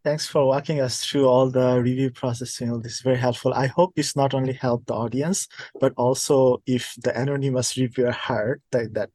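A man's voice: mid-range voice, fairly even intonation, fairly quick, mellow, dry recording. Friendly male podcast host, dry sound. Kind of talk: casual interview hosting.

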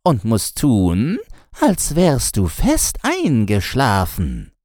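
A man's voice: mid-range voice, wildly swooping pitch, very slow, a little mellow, no room sound, clean studio sound, pitched above the performer's own voice, heavily acted. A man voicing an old woman who gives sly, knowing advice in a fairy tale audiobook. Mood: knowing, advising.

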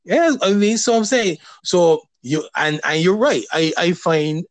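A man hosting a casual interview, talking hesitantly with many restarts and pauses. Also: high-pitched, lively intonation, no echo. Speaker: podcast host.

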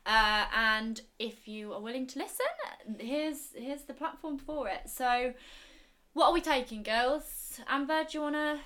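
A woman's voice: high voice, a little slow, bright, forceful tone. A twenty-year-old woman hosting a podcast in a casual, chatty style. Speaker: podcast host. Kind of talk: casual chat.

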